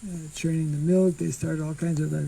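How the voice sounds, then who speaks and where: An older monk giving an informal scripture class outdoors into a stand mic, speaking slowly and haltingly and clearing his throat often. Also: fairly high pitch, flat delivery, dark tone, low-quality recording. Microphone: stand mic in front.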